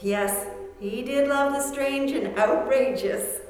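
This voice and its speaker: In a very echoey hall with faint music, a woman plays a wistful, anxious middle-aged woman in a radio play. Her voice is a bit high, emotive and questioning. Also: slow pace, darkish tone. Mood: wary curiosity. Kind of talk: stage radio play acting.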